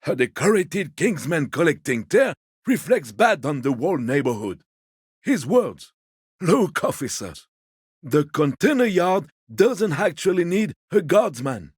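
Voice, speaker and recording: A man voicing a genteel, fussy old man in a video game, a husky voice and a polite, wheedling, quietly petty manner. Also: fairly high pitch, very animated, measured pace, dry recording, clean studio sound, exaggerated voice. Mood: genial, confiding. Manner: polite, wheedling, theatrically indignant. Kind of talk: video game character dialogue.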